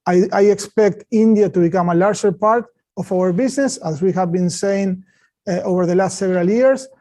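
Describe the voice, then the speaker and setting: Male company executive, high-set mellow voice, halting answers on an earnings call. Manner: halting, repeats words.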